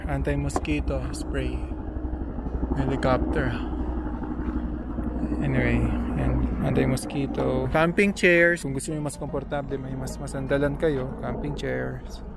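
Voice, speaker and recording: A man's voice: medium pitch, steady tone, measured pace, gravelly, heavy background noise. A man casually walking through the family's camping gear item by item in a vlog, recorded outdoors at a forest campground on a handheld camera. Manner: listing gear item by item, explaining each. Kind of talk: casual show-and-tell vlog. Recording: background music under the voice.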